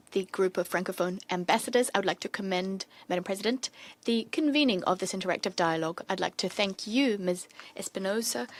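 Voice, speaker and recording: A woman interpreter renders formal diplomatic speech in measured, steady bursts. Her mid-pitched voice is close to the mic and completely dry, with no room sound at all. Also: no echo. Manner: steady, measured, in quick bursts between pauses. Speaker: simultaneous interpreter.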